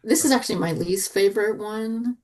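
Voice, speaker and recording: A woman of medium pitch takes part in a podcast panel. She gives her opinions in an informal, conversational way. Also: brisk, a loud crowd. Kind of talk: casual review chat.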